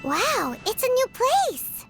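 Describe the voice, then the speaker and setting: A woman voicing a small childlike fairy companion in a game, eager, curious and excitable. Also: very high-pitched, slow, faint music behind, full character voice. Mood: amazed. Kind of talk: animated game dialogue.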